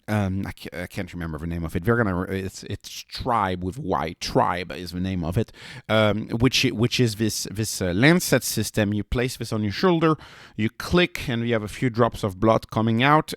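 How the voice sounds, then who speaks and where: A man's voice: medium pitch, no echo, clean studio sound. A man hosting an informal explanatory business commentary, speaking emphatically and repeating phrases for stress. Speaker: host giving stock analysis.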